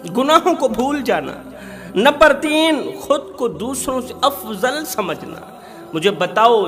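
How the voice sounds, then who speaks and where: A man delivers an emotional Islamic sermon in a high-pitched voice. His phrasing is slow, emphatic and repetitive, with a dramatic storyteller's weight. Long hall reverb surrounds the voice, with music playing underneath. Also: a bit bright. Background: background music.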